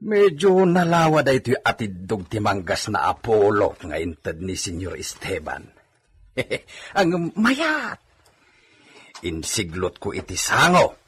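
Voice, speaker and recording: A man's voice: lowish voice, big pitch swings, slow, fairly bright tone, full character voice. A man playing a self-pleased village farmhand musing aloud to himself in an Ilocano radio comedy drama. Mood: pleased, chuckling.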